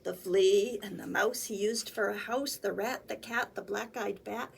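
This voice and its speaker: A woman's voice: medium pitch, steady tone, a little slow, gravelly, a little hiss or hum. A woman reading a children's picture book aloud as a storytime librarian, with theatrical, sing-song emphasis. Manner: theatrical, sing-song emphasis with acted animal sounds and sneezes.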